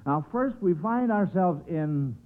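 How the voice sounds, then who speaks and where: A man's voice: sits high, expressive, takes it slowly, loud music behind the voice. A man with an American accent hosting a 1940s radio quiz show in a jovial, folksy, bumbling way. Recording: scratchy old radio broadcast, narrow phone-like sound.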